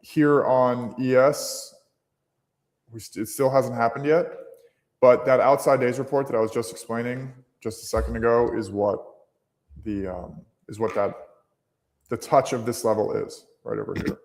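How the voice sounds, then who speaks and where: A man's voice: medium pitch, steady tone, moderate pace, darkish tone, in a large hall. A man hosting a live trading stream, talking casually and thinking aloud, with many fillers and restarts.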